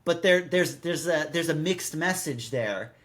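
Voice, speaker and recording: A man with a slightly raised pitch talks as a podcast co-host. He chats informally, restarting and repeating words as he works through a recap. His delivery rambles, with exasperated little asides. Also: unhurried, fairly bright tone. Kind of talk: casual chat, recapping and critiquing a radio drama. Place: recorded in person with co-host.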